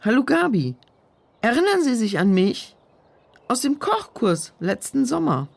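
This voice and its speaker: An actress playing a hopeful, practical, persistent woman in a German children's audio drama, expressive and encouraging. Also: fairly high pitch, measured pace, creaky, exaggerated voice. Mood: tentative, surprised.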